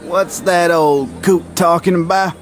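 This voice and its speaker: A man's voice: sits high, fairly even intonation, measured pace, a little hiss or hum. A man acting a mocking, ornery western townsman in a radio drama, with a needling, folksy drawl.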